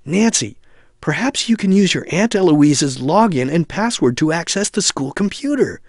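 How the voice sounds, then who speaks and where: Helpful young male friend for a game: earnest advice, very animated, dry sound. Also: on the high side, normal speed, no echo. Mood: earnest, advising. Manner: earnest, advising. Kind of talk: adventure game hint dialogue.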